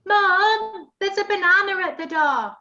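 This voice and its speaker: A woman playing a cheeky young lad who narrates a pantomime in lively rhyming verse. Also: very high voice, measured pace, muffled recording, full character voice.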